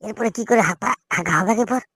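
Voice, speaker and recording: A woman's voice: mid-range voice, quick pace, vocal fry, close to an everyday voice. A woman voicing a cheeky, foul-mouthed young son in a comic cartoon, whiny and insolent, with a West Bengal accent. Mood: grumbling.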